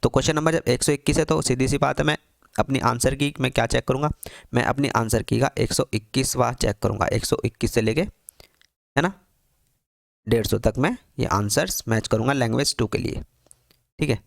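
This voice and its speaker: A man's voice: medium pitch, moderate pace, gravelly, no echo, clean studio sound. A man tutoring for a teaching exam, walking through an answer key on screen, asking himself questions and answering them with constant repetition.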